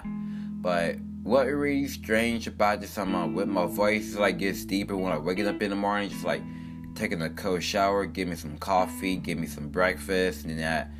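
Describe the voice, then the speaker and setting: A young man with a very low, deep voice rambles through an upbeat, earnest self-help monologue, full of "like" and "um". Plucked guitar music plays clearly underneath him the whole time.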